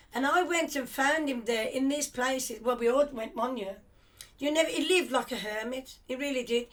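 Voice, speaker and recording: An older woman with a high, light voice recalls her family's past at an unhurried conversational pace. She drifts and restarts as memories come back, reflective rather than polished. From England, of Cypriot family. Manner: rambling, reflective storytelling with restarts. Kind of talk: reminiscing conversation.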